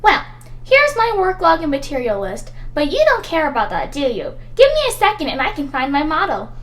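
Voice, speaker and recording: A woman playing an eager, friendly student who loves cars in a radio drama, excitable, chatty and earnest. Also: high voice, fairly quick. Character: eager, friendly female student, keen car enthusiast.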